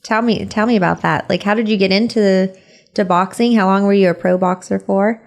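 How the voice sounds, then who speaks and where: Upbeat podcast host lady, chatty with lots of ums, medium pitch. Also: steady tone, a little fast, creaky. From California. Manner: upbeat, encouraging, frequent fillers. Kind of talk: casual interview chat.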